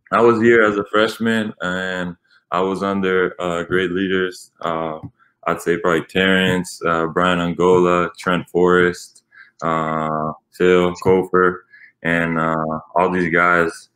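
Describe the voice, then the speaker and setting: A man's voice: deep voice, fairly bright tone, rough recording. A young man from Switzerland, a native Italian speaker and college basketball player, answering interview questions with many fillers. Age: young adult.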